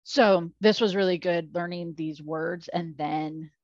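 A woman's voice: on the low side, fairly even intonation, unhurried, a little mellow, no echo, lo-fi sound. A woman co-hosting a podcast in casual chat, rambling with lots of fillers. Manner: rambling, filler-heavy, self-deprecating. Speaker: podcast co-host.

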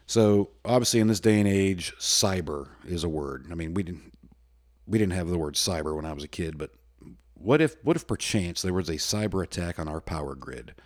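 A middle-aged American man hosting a solo podcast, talking in a loud, folksy, rambling way with many restarts. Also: sits low, animated, moderate pace. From United States. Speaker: podcast host. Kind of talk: solo casual podcast talk.

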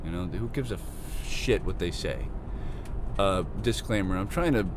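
A man's voice: low voice, lively intonation, normal speed. A man of twenty hosting a casual solo podcast from inside a car, his voice crackly because he is losing it, rambling and swearing in an irritated, self-deprecating way. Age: 20s. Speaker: podcast host. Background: faint car and street noise.

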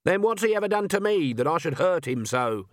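A man's voice: fairly high pitch, big pitch swings, brisk, no room sound, clean studio sound, voice pushed up. A man voicing Granny Weatherwax, a blunt, firm older woman witch, in an audiobook. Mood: stern. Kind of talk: audiobook character voice.

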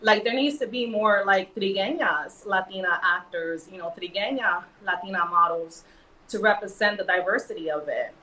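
A woman's voice: medium pitch, moderate pace, pushed and bright, recorded from a distance, a little hiss or hum. Woman, New York accent, earnest panel guest, roomy echo. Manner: earnest, filler-heavy, emphatic. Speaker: model and actress guest. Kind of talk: casual panel discussion.

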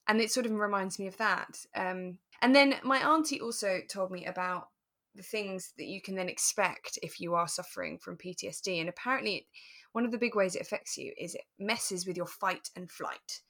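A woman co-hosting a podcast, explaining things step by step in a relaxed, conversational way. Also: medium pitch, moderate pace. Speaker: podcast co-host.

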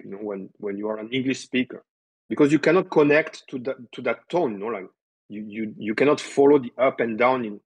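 Interview guest: man, French accent, husky, animated and talky. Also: mid-range voice, rough recording. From southern France. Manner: lively, stresses words up and down, many fillers like "you know". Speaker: guest, developer relations. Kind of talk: casual interview talk.

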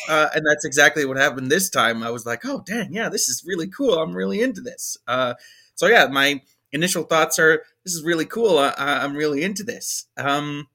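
A man's voice: fairly high pitch, bright, forceful tone. A man in his twenties, a podcast co-host, rambling through a casual film review with plenty of fillers. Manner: rambling, hesitant, many fillers. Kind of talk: casual movie review chat.

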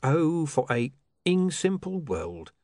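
A smooth criminal schemer in an audiobook, acted by a male narrator. He is cynical, condescending and exasperated, his voice pitched up and very mobile. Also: sing-song, takes it slowly, a little mellow, no room sound, clean studio sound. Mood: weary exasperation. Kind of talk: audiobook character voice.

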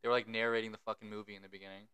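A man's voice: fairly low pitch, little pitch movement, rapid-fire, crowd noise. A young man co-hosting a casual podcast on a close microphone, laid-back and swearing casually as he tells stories. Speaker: podcast co-host. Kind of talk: casual chat. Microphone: podcast microphone close to the face.

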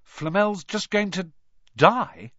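A man voicing a blunt, excitable young schoolboy sidekick in an audiobook, speaking informally. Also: high-pitched, slow pace, no room sound, lo-fi sound, pitched above the performer's own voice, exaggerated voice. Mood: amazed.

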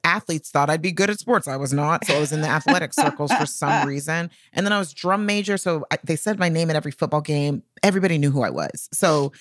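A woman's voice: low voice, expressive, fast talker, few pauses, dry recording, clean studio sound. A woman co-hosting a podcast in casual, candid conversation, speaking emphatically and bluntly with some salty language. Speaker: podcast co-host.

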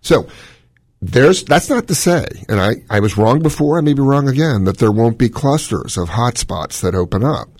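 Gravelly-voiced man hosting call-in radio. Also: mid-range voice, animated, normal speed, darkish tone, no echo. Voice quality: smoky, gravelly voice. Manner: opinionated, rambling explanations. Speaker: cardiologist radio host.

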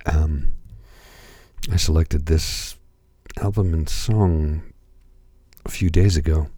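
A hoarse man hosting a radio music show, talking calmly with many pauses and hesitations. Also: a bass voice, no room sound. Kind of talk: slow, calm radio presentation.

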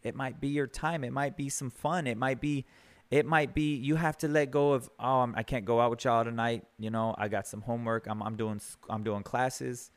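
A man's voice: medium pitch, fairly even intonation, moderate pace. Earnest man giving a motivational talk. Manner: earnest, conversational, repeats words as he builds a point. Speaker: podcast host. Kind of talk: motivational monologue.

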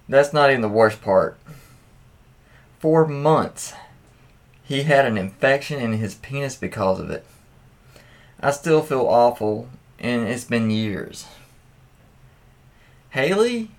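A man with a mid-pitched voice reads stories aloud at a slow pace. His delivery is casual and crude, like a host who adds candid asides as he goes. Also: fairly bright tone. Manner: crude, swearing, candid reactions.

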